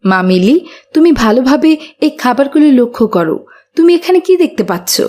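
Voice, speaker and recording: A woman voicing a gentle, caring mother who patiently teaches morals in a children's cartoon. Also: high-pitched, big pitch swings, normal speed, noisy background.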